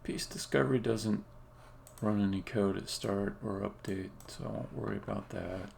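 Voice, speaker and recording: Low, very creaky man thinking aloud. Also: steady tone, darkish tone. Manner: muttering to himself, halting, trailing off. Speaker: indie game developer streaming. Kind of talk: live-coding stream, thinking aloud. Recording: faint background noise, keyboard clicks. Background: computer keyboard typing and clicking.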